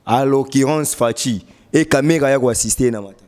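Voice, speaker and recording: A man's voice: mid-range voice, fairly quick, darkish tone. A man with a Congolese accent interviewing on politics, interjecting with provocative challenges.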